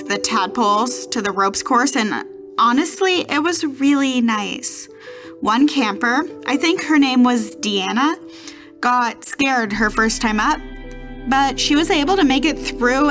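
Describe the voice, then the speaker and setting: A woman playing a gentle young camp counselor, recounting her day plainly and casually in a diary-like horror podcast monologue. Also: on the high side, natural voice.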